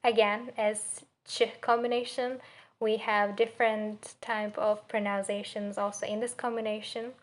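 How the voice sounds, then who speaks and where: A young woman with a Turkish accent giving a spoken student presentation, haltingly searching for words and pronouncing example words carefully. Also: mid-range voice, level tone. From Turkey. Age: young adult. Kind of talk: spoken assignment presentation on pronunciation.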